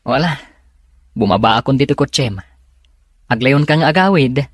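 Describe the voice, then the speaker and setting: A man's voice: medium pitch, expressive, moderate pace, no room sound, clean studio sound, natural voice. A man playing a young best friend in a radio drama, earnest and frank as he gives advice. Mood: cautioning.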